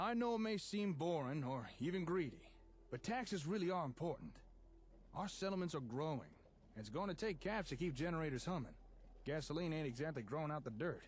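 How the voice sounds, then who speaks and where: Man as a lively, high-voiced folksy tinkerer. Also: a little hiss or hum, lightly acted. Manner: folksy, easygoing, encouraging. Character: chatty, enterprising male tinkerer guiding wasteland settlement builders. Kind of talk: in-game character dialogue.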